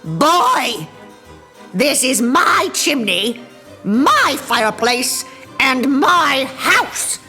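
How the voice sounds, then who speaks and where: A woman voicing a cranky old female witch who shouts and screeches bossily, in a storybook reading. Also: sits high, big pitch swings, extremely slow, faint music behind, pitched above the performer's own voice, heavily acted. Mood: bossy. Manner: screeching, bossy, grumbling.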